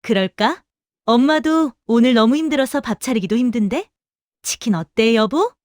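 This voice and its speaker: Middle-aged daughter-in-law, played by a woman, fairly high, polite, matter-of-fact, audio drama, close and dry. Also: expressive, normal speed, dry recording, close to an everyday voice. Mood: casual. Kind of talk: Korean audio drama acting.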